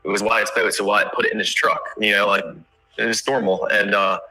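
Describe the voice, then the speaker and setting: A young man answering interview questions casually, halting and rambling as he keeps correcting himself. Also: lowish voice, level tone, bright, forceful tone, low-quality recording.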